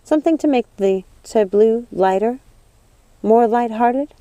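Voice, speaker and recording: A woman voicing a gentle young witch who speaks politely and earnestly in a storybook reading. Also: a little high, a little slow, dry recording, pitched above the performer's own voice, close to an everyday voice. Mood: reassuring.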